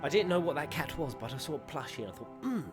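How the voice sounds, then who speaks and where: A man giving casual, playful and animated reaction commentary as a gaming YouTuber, with some crude humour. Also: on the high side, fast, loud music behind the voice.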